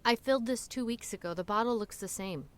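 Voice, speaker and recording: An actress playing a worried, exasperated adult daughter in an audio drama, direct and insistent. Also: female voice, on the high side, brisk, clean tone, natural voice.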